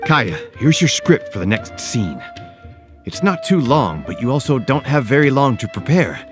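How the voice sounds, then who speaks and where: An actor playing a friendly, apologetic theater troupe leader in a video game cutscene, earnest and easily excited. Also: male voice, mid-range voice, moderate pace, music in the background, natural voice. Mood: eager.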